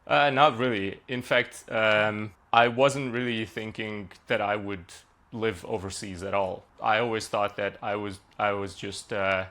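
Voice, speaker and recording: Hungarian-born man, low voice, chatty. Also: fairly even intonation, measured pace, fairly bright tone. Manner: conversational with frequent uh and like fillers. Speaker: software engineer guest. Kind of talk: podcast interview, relaxed storytelling.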